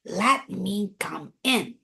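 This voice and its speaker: Woman voicing a menacing storybook big bad wolf, slow and swooping. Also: medium pitch, lively intonation, creaky, sharp tone, voice pushed down, exaggerated voice. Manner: snarling, growling threats. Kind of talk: children's storybook read-aloud.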